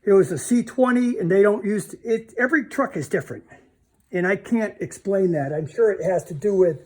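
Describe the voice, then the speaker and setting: A man's voice: high-pitched, normal speed, a little hiss or hum. Plainspoken man giving a hands-on repair demo. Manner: plainspoken, informal, thinking aloud while handling parts. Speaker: DIY car repair demonstrator. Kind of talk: casual hands-on repair demonstration.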